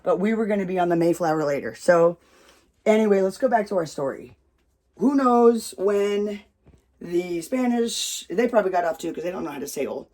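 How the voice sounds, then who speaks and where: An American storyteller talks loudly and loosely, drifting off on tangents and dropping casual curses while telling a family story with props. The voice sits at an ordinary middle pitch. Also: expressive, normal speed. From United States. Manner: loud, rambling, swears freely.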